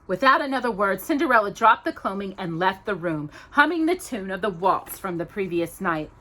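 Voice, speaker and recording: A woman's voice: medium pitch, expressive, normal speed, pushed and bright. A woman reading a children's story aloud with dramatic, emphatic narration. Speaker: storytime reader.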